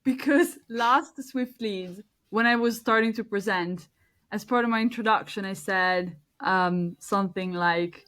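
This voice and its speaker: A woman hosting a podcast in casual chat, bubbly and quick to laugh. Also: mid-range voice, fairly bright tone. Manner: bubbly and giggly. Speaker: podcast host.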